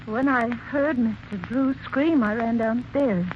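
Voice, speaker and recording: A frightened young companion in an old radio mystery, voice high and faltering. The broadcast is dull, with faint hiss. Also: female voice, slow. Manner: breathless, faltering. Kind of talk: 1940s radio acting.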